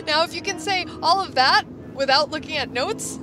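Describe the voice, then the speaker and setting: A woman's voice: high-pitched, normal speed. A woman co-hosting a podcast with casual, conversational chat. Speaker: podcast co-host.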